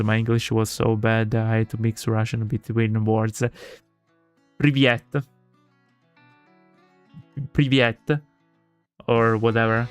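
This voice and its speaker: A man with an Italian accent, Italian his first language, streaming himself reading a visual novel aloud and chatting with viewers, with lots of fillers and restarts. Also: medium pitch.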